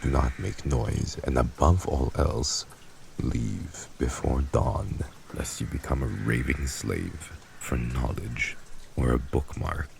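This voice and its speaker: A man playing a heartless, commanding vampire mafia boss in an ASMR audio drama, gravelly and speaking in a formal, grave, measured manner. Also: rumbling low, slight background noise, exaggerated voice, low-quality recording. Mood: stern, commanding.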